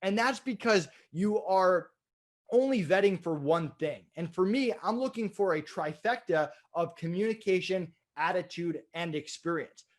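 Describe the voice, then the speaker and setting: A man's voice: high voice, moderate pace. A man giving an informal, explanatory webinar as a business trainer, in a conversational way. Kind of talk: informal instructional presentation.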